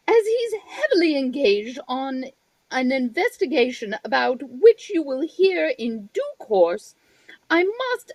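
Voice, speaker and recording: A gracious lady author, played by a woman giving a radio address. The voice is high and lilting, slow and measured, with a dry close sound. The manner is stately and formal. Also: no room sound, heavily acted, low-quality recording. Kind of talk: old-time radio scripted address.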